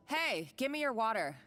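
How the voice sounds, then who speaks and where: A woman's voice: high voice, a bit bright, full character voice. An actress playing a spiteful, bossy female schemer in a video game, taunting and sneering as she speaks. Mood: demanding. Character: smug, spiteful female schemer bossing an accomplice around. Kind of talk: scripted video game voice acting. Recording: game audio under background music.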